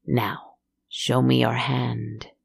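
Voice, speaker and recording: A storyteller voicing an old woman fortune teller, speaking in a slow, hissing whisper that is low and gravelly. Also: little pitch movement, dry recording, full character voice. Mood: coaxing.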